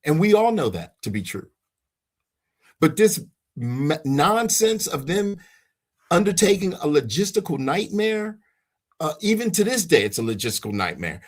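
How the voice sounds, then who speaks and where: A man's voice: fairly high pitch, big pitch swings, moderate pace. A man preaching informally and giving personal testimony as he leads a religious discussion, emphatic and repeating phrases for weight. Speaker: host leading a religious discussion.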